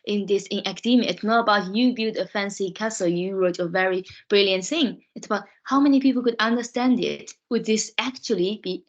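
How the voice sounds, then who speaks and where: A woman's voice: medium pitch, fairly quick, few pauses, lo-fi sound. A woman podcast host leading an interview, encouraging the guest with many short affirming replies. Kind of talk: interview conversation.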